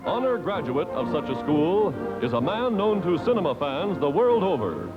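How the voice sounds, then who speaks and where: A man's voice: on the high side, sing-song, moderate pace, dull audio. A man narrating a scripted 1940s newsreel in an emphatic, declamatory announcer's delivery, on a vintage film soundtrack. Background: loud music.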